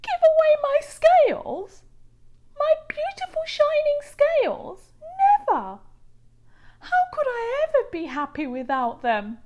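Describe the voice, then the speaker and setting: A woman's voice: very high voice, wildly swooping pitch, takes it slowly, darkish tone, voice pushed up, heavily acted. A woman voicing a vain, proud, easily indignant male rainbow fish with haughty, dramatic delivery for a children's storybook. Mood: distraught, outraged.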